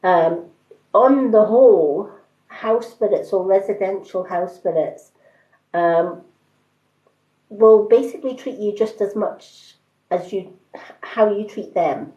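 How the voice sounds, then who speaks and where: A woman hosts a casual live stream as a card reader, speaking in a calm and soothing way. She takes her time, with a very unhurried pace. The sound is roomy and slightly dull, like modest livestream audio. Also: medium pitch.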